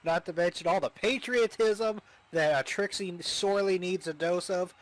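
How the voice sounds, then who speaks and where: Halting, fairly high-voiced commentator man. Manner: hesitant, many fillers and restarts. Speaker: wrestling commentator. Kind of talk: casual wrestling commentary.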